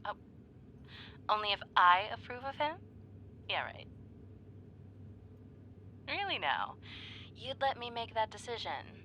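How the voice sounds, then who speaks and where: A woman playing an excitable, playful young woman on a date, in a conversational, halting roleplay style with teasing, gushing delivery. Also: a little high, lo-fi sound, a little hiss or hum. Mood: playful, curious. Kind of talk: ASMR roleplay acting, one side of a dialogue.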